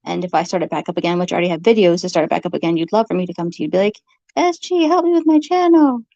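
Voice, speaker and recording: Woman with vocal fry, argumentative and repetitive, informal monologue, dull dry sound. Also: on the low side, expressive, mellow, no echo. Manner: accusatory, halting, repeats phrases.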